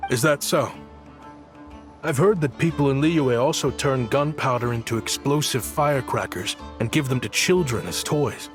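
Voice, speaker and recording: A man's voice: mid-range voice, moderate pace, over music, natural voice. An actor playing a polite, protective man who minds children in a video game, courteous and reserved. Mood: reserved, wistful.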